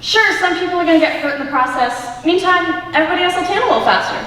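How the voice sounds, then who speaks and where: A woman's voice: very high-pitched, expressive, fast talker, sharp tone, heavily acted. A teenage student actress with an American accent playing a laid-back, unflappable young woman in a stage comedy. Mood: breezy. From United States. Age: teens. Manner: laid-back, reassuring, glib. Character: breezy, unflappable young woman, cheerfully philosophical. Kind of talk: one-act stage comedy acting. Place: school auditorium stage. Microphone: distant camera microphone. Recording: echoey auditorium recorded from far off, hiss.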